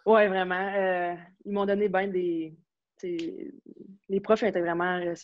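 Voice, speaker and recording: A young woman from Quebec with a Quebec French accent, chatting casually as an interview guest, relaxed and full of fillers and restarts. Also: on the low side, a little slow, no echo. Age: young adult. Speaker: interview guest, university hockey player. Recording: slightly dull, lo-fi sound.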